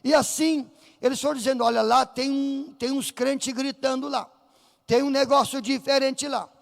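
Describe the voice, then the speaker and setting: Fervent Brazilian pastor preaching, high gravelly voice. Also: male voice, fairly even intonation, normal speed. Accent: Brazilian Portuguese. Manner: fervent preaching, rising to shouts, acting out voices in the story. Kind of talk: Pentecostal sermon. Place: church sanctuary. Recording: reverberant church hall.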